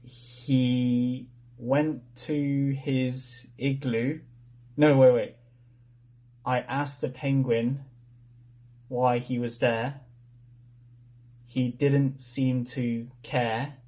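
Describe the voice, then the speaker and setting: Very slow, mellow-voiced man recalling a story. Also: medium pitch, flat delivery. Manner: halting, unsure, piecing sentences together slowly. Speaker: participant in a story-retelling game. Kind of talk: retelling a story from memory.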